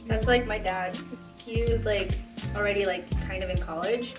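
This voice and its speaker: A woman's voice: mid-range voice, fairly even intonation, moderate pace. A woman in her twenties, a college student, chatting casually and self-deprecatingly over dinner. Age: 20s. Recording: roomy, distant mic, music under.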